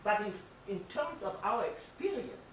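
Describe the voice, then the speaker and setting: A far-off, echoing recording of an older woman speaking from the audience. She explains her thoughts on art in a halting, discursive, unscripted way. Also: medium pitch, lively intonation, a bit bright. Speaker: audience member commenting on art. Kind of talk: unscripted academic comment. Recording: echoey, noisy hall, far from microphone.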